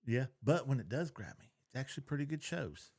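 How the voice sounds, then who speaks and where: A man's voice: medium pitch, very animated, a little fast, darkish tone, breathy edge. Middle-aged man chatting casually. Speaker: podcast co-host. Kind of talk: casual chat.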